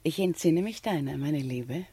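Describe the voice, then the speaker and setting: An actress playing a genteel middle-aged widow in a German radio drama, speaking in a gracious, formal way. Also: female voice, low-pitched, expressive, moderate pace, warm tone, slight background noise. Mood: gracious, wistful. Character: genteel middle-aged widow neighbour, plump, shunned femme fatale.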